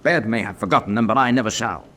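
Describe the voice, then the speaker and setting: An actor playing a proud, hard-bitten German U-boat officer in a radio drama, with clipped, emphatic delivery. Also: male voice, on the low side, fast, sharp tone. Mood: bitter, impassioned. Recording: clearly noisy background ambience.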